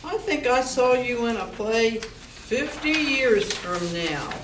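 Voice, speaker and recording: A woman's voice: a little high, animated, slow. A woman playing a tough, protective bar owner in an amateur old-time radio play. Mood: matter-of-fact. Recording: roomy, distant mic, faint noise.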